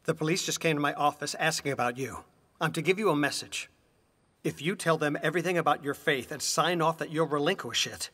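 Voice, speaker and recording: A man's voice: on the high side, sharp tone, breathy edge, full character voice. A man playing an anxious middle-aged husband, pleading and exasperated, in a dubbed stage drama. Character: anxious, pleading husband afraid of losing his job. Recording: reverberant hall-like sound.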